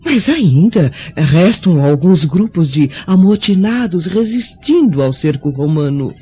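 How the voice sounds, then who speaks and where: Devoted maidservant, mellow and high, lively Brazilian radio-play delivery, dull recording. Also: male voice, unhurried, husky, exaggerated voice. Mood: earnest, worried. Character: soothing, devoted female servant to a blind lady. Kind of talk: Brazilian radio drama acting. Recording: dull lo-fi radio drama, faint music.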